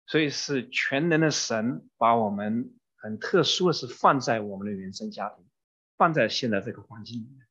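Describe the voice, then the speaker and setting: Husky male sermon interpreter. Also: mid-range voice, moderate pace, a bit bright. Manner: renders each phrase promptly, with some fillers. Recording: dull muffled recording.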